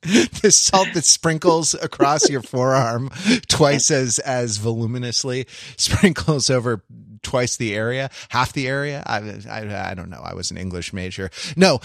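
A man co-hosting a podcast in casual banter, talking in a halting, rambling way with wry asides. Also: mid-range voice, fairly bright tone, dry recording, studio quality. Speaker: podcast co-host.

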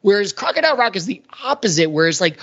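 A woman's voice: mid-range voice, very animated, fast talker, a bit bright, highs cut off. A woman on a podcast panel, talking through ideas in casual analytical conversation.

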